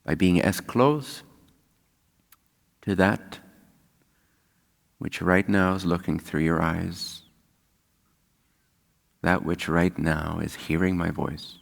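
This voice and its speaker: A man leading a guided meditation as a spiritual teacher, speaking calmly and unhurriedly with long pauses. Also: low voice, vocal fry. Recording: long hall-like reverb.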